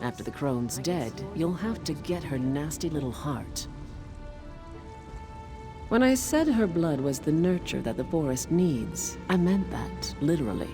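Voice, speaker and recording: A woman's voice: low voice, sing-song, measured pace, over music, full character voice. An actress playing a wry, earthy forest witch in a video game, with a casual, teasing manner. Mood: determined. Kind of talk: video game character dialogue.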